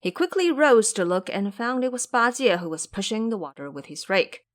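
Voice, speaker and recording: Animated woman narrating a story. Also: mid-range voice, normal speed. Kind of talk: scripted audio drama narration.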